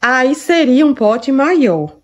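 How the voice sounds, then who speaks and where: A woman's voice: high-pitched, sing-song, takes it slowly. A woman from Brazil, chatting casually through a cooking vlog in her home kitchen, fond of diminutives. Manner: chatty, affectionate, lots of diminutives. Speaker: vlogger.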